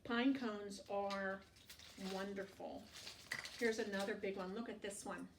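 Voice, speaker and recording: A woman storytime teacher reading aloud to young children in an expressive way, adding asides and questions for the listener. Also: medium pitch, a little slow, background noise. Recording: echoey room, distant microphone.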